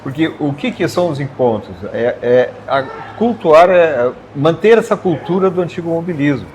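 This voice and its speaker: A man speaking casually on a live stream, warmly inviting listeners to come to the club's meetings. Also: fairly high pitch, animated, normal speed, slight background noise. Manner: friendly and inviting, adds short agreements. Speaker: car club member.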